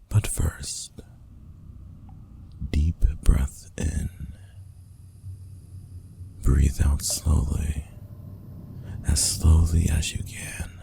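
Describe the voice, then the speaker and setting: A man playing a stern, possessive ruler of an underground city in a fantasy ASMR roleplay, speaking formally in a gravelly, hushed half-whisper. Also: extremely low pitch, heavily acted. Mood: calm, soothing. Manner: formal, archaic, commanding, measured.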